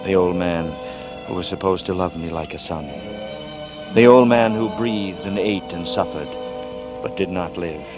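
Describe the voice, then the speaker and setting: A hunted young man, tense and urgent, very deep voice, old radio drama, lo-fi with music. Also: measured pace. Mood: grim. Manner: tense and urgent, hushed then rising. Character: desperate young man falsely accused of murder. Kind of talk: 1940s radio acting with first-person narration.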